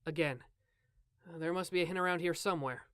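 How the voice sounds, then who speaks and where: A woman voicing a casual, excitable young male college student in a game fan dub. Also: lowish voice, quick pace, clean tone, no room sound, studio quality, natural voice. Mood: casual, puzzled. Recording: music playing behind the voice.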